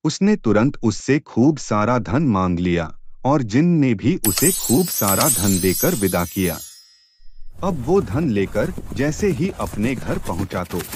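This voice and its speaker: A man narrating a cartoon fairy tale in an animated storytelling style. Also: on the low side, takes it slowly, slight background noise.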